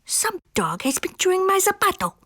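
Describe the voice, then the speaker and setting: A woman voicing small, excitable chihuahua buddies who complain in indignant exclamations. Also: extremely high pitch, normal speed, no room sound, clean studio sound, voice pushed up, full character voice.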